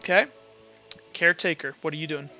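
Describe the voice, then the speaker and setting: A man's voice: on the high side, a little fast, bright, forceful tone, highs cut off, soft background music. A man casually talking as game master of a tabletop roleplaying game.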